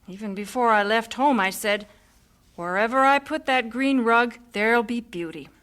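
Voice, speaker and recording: An earnest, expressive young missionary wife in a radio drama, voiced by a woman. Her voice sits fairly high. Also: moderate pace, natural voice. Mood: hopeful. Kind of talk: 1950s-style radio drama acting.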